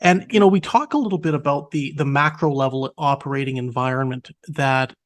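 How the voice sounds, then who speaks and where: A man's voice: medium pitch, fairly quick. A man whose first language is French, hosting a business interview and asking long, carefully framed questions.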